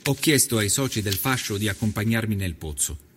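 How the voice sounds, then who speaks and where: An actor playing an earnest nineteenth-century Italian investigative journalist, narrating his reportage in measured, literary first person for a documentary. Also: on the low side, animated, background noise, lightly acted. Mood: grave, descriptive.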